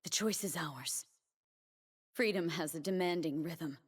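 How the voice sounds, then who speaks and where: A young female blade dancer for a video game. She speaks slowly and solemnly, with earnest resolve. Every line is measured and determined, the voice of a fighter devoted to her cause. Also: lowish voice, slightly rough. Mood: solemn, resolute. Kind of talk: scripted video game character voice lines.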